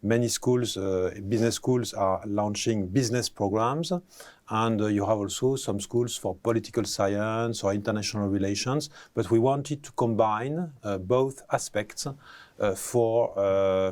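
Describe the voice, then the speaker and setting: A man, a professor answering interview questions, pausing often with fillers and restarting phrases. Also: lowish voice, darkish tone. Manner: hesitant, many fillers and restarts.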